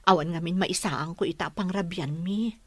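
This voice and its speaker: A woman's voice: on the low side, normal speed, no echo, close to an everyday voice. A woman playing a weary, anxious poor wife and mother in an Ilocano audio drama. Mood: embarrassed, pleading.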